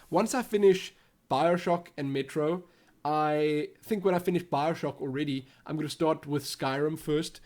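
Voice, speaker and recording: A man's voice: fairly high pitch, a little mellow. A young man from South Africa with a Western Cape accent, hosting a casual gaming Q&A vlog and rambling as he thinks aloud. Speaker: YouTube gaming channel host.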